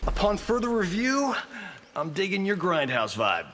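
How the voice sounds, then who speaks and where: An actor playing a cocky, wisecracking Hollywood action star in video game banter, flippant and boastful. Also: male voice, high voice, huge pitch swings, normal speed, a bit bright, full character voice. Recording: long reverb, faint background noise.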